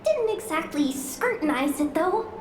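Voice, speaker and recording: A woman voicing a tiny, childlike fairy companion in a video game, excitable, blunt and bossy. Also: a little slow, a little hiss or hum, exaggerated voice. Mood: uneasy, flustered. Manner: squeaky, exclamatory, scolding, comic. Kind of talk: scripted video game voice acting. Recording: roomy echo, music behind.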